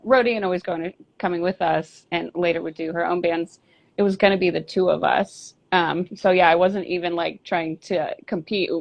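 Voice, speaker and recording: Woman, rambling candid guest, fry-heavy voice, muffled lo-fi remote audio. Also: on the low side. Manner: candid, rambling, many fillers and restarts. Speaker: band singer and bassist, guest. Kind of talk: casual interview chat. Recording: lo-fi dull audio.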